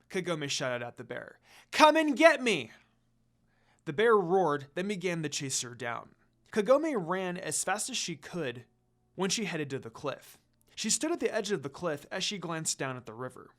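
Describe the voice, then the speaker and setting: A story narrator with very lively, swinging intonation and a medium pitch. The reading is scripted and dramatic, telling fan fiction.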